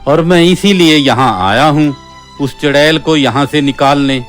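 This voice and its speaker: Man playing high-voiced, pompous holy man. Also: measured pace, close to an everyday voice. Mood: self-assured. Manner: pompous, insistent, declamatory. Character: stubborn, self-important male holy man, village exorcist. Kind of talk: cartoon story acting. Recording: background music.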